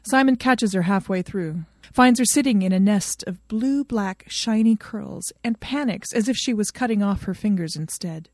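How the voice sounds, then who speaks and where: A woman narrates a piece of fiction from a script. Her voice is dark and soft-edged at a middle pitch, recorded close with almost no room sound. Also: normal speed, few pauses, no room sound. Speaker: fan fiction reader.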